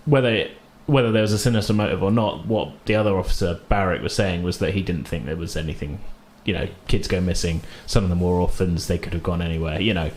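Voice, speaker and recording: A man's voice: sits low, fast, hardly any pauses, darkish tone, a little hiss or hum. A man narrating casually as game master, improvising informally with the odd chuckle.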